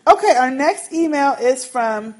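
A woman's voice: sits high, expressive, measured pace, darkish tone. A woman co-hosting a podcast, chatting in a skeptical, easygoing, slangy way. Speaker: podcast co-host. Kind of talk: casual chat.

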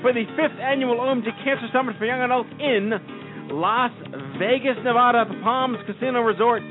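A man with a high voice for his sex reads promos and chats with his guest in a hyped, playful way. The sound is thin and phone-like, and music plays clearly behind him. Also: steady tone, fairly quick. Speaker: co-host. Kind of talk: radio show hosting and news reading.

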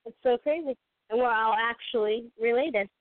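A woman's voice: fairly high pitch, normal speed, no room sound. A young woman with a Boston accent, a caller chatting casually and laughing often on a phone-in show. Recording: dry tinny phone line.

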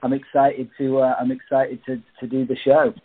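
A man's voice: medium pitch, monotone, normal speed, slightly rough. A man from a play's cast chatting casually in an interview over a phone line. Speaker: cast member.

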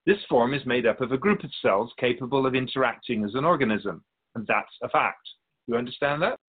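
A man playing a wise, patient otherworldly spirit in a radio drama, explaining things in a measured, gently pedantic way with a husky voice. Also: mid-range voice, expressive, narrow, tinny audio, natural voice. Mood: explanatory, measured. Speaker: spirit being interviewed. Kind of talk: scripted radio drama dialogue.

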